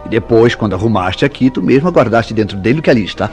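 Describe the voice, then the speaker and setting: A man's voice: on the low side, lively intonation, quick pace, darkish tone, lightly acted. A Brazilian man acting a jealous, suspicious newlywed husband in a radio soap opera, speaking in a formal, theatrical way. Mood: stern, accusing. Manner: formal, theatrical, interrogating his wife. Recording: radio drama with music behind.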